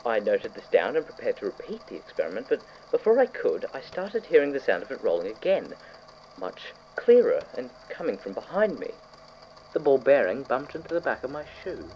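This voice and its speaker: A man acting an excitable scientist on muffled tape. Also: mid-range voice. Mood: methodical, intrigued. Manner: fussy, precise, excitable, with trailing pauses. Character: eager, obsessive male scientist taping his investigation notes. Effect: degraded old cassette tape. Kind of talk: audio drama found-tape monologue. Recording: muffled, noisy old cassette tape.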